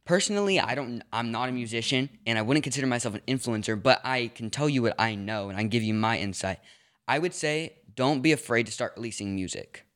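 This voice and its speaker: A teenage boy from Los Angeles co-hosting a podcast in casual chat, quick and chatty. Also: medium pitch, fairly bright tone. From Los Angeles, USA. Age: teens. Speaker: podcast co-host.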